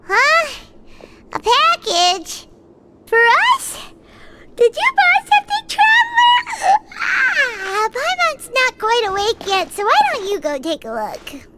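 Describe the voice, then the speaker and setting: A woman voicing a tiny, excitable, childlike fairy companion in a video game, skittish, chatty and dramatic. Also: takes it slowly, heavy background noise, heavily acted. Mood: curious, surprised, then uneasy. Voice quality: squeaky, childlike. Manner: refers to self in third person, dramatic. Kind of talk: video game character acting.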